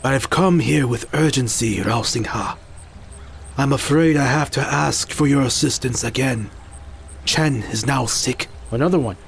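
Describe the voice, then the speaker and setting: A man's voice: medium pitch, measured pace, gravelly, slight background noise. A man playing an elderly village musician in an audio drama, formal, grave and urgent.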